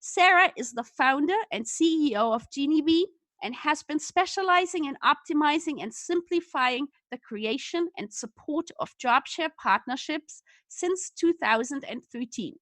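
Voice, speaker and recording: A woman's voice: high voice, expressive, moderate pace, fairly bright tone, dry recording. A woman hosting a podcast, reading a scripted intro and then asking questions in an enthusiastic, upbeat way. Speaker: podcast host. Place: Vancouver, Canada.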